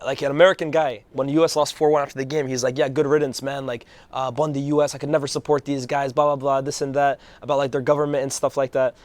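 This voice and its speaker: Hoarse male co-host, rapid chatter, dry booth sound. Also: mid-range voice, dry recording. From Algerian background. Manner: fast, animated storytelling. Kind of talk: casual football chat.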